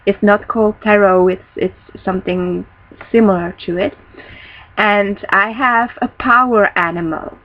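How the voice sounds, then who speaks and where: A young woman, a native Dutch speaker, teaching a casual spiritual lesson from a small room, often pausing to find words. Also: medium pitch. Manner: thoughtful, searching for words, restarts often. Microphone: built-in laptop webcam mic, close. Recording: dull lo-fi webcam audio.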